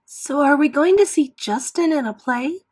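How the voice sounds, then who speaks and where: A woman reader plays a young boy in a storybook drama, hurt and quick to anger. The voice lifts well above a normal speaking pitch and pushes out accusations in loud outbursts. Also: expressive, no room sound. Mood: wary, probing. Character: angry, hurt young boy, shrieking at his family.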